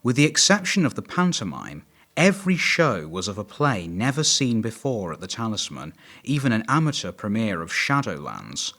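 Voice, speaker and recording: A man's voice: on the low side, very animated, moderate pace, hardly any pauses, bright, forceful tone. A man narrating a documentary from a script.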